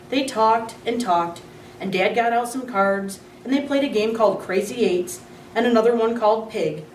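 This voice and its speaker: A woman reading a children's chapter book aloud in an expressive storytelling manner. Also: medium pitch. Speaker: reader. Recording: roomy, distant, faint hum.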